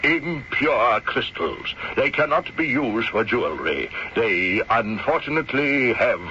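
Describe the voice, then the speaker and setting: An actor playing a courtly, sly man posing as a gem professor in a radio mystery drama, theatrical and measured. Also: medium pitch, lively intonation, natural voice. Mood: regretful, learned. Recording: dull, slightly noisy old radio recording.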